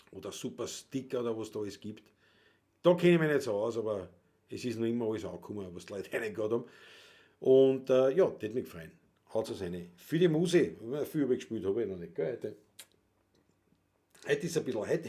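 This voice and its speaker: A man's voice: mid-range voice, dark tone. A man with a Viennese accent hosting his music livestream, reading out viewer comments in an easygoing, rambling way and chuckling between remarks. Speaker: livestream host and Wienerlied singer. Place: at home, performing alone.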